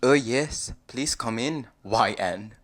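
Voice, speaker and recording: A man's voice: medium pitch, takes it slowly, background noise, pitched above the performer's own voice, lightly acted. Big green ogre lover, played by a male reader: amateurish Scottish accent, sweet, flirtatious, comic storybook romance. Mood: sweet, welcoming. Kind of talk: comedic fan fiction read aloud.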